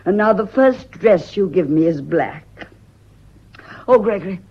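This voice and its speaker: A woman's voice: fairly low pitch, animated, measured pace, breathy edge, lo-fi sound, exaggerated voice. An actress playing a yearning, guilt-ridden woman in secret love, emotional and dreamy, in a radio drama. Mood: fretful.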